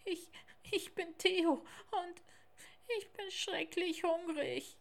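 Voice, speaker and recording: A woman's voice: very high voice, takes it slowly, gravelly, voice pushed up, full character voice. A woman voicing an anxious, homesick little male tiger cub with a childlike manner in a children's storybook. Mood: timid, hungry.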